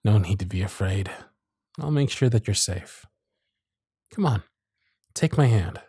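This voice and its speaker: A man playing a charming, gentle circus magician who is smitten and privately anxious, speaking tenderly and softly in an ASMR romance roleplay. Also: on the low side, slow, husky, dry recording, clean studio sound, heavily acted. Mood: warm, charming. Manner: soft-spoken, flattering, tender, with hushed asides. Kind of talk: ASMR romance roleplay with intimate inner monologue.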